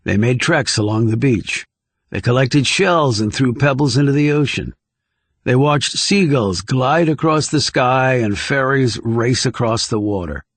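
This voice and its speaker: A man reading scripted thriller narration in a measured, composed way. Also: mid-range voice, no room sound. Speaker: audiobook narrator.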